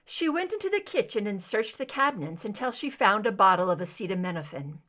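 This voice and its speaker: A woman's voice: mid-range voice, very animated, fairly quick, a bit bright. A woman reading scripted fiction aloud as an audiobook narrator. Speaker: amateur audiobook reader.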